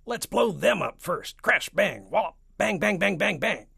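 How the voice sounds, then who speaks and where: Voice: medium pitch, sing-song, measured pace, creaky, slightly rough, no room sound, clean studio sound, pitched above the performer's own voice, full character voice. A performer voicing a blustering, childish army chief who is boisterous and gung-ho in a storybook. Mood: belligerent, excited. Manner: loud, boisterous, exclaiming.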